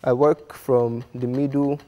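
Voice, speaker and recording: A man's voice: mid-range voice, flat delivery, measured pace, warm tone, big-room sound. A Nigerian man giving a cooking demonstration, explaining each step. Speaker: cooking guest.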